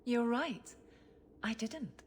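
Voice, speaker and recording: An actress playing a stern, dutiful captain of the city guard in a video game, brisk and authoritative. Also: female voice, on the high side, expressive. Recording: faint background noise.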